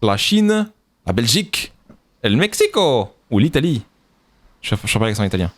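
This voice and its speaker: Man, quiz host, radio show, lively varied pitch, slow deliberate question reading, joking asides.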